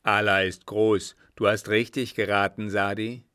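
A man's voice: on the low side, steady tone, measured pace, a bit bright, no room sound, clean studio sound. A man voicing a grave, commanding old sheikh in an audiobook, speaking in a solemn, formal and emphatic way.

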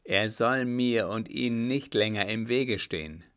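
A man voicing a grim, vengeful army captain who talks in a forceful, harsh, clipped way. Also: mid-range voice, a little slow, natural voice.

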